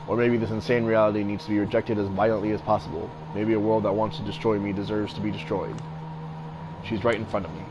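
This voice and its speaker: A man with a fairly deep voice reading a text game aloud, casual, swearing now and then and commenting on the writing. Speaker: streamer playing a text adventure game. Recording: noisy background hiss.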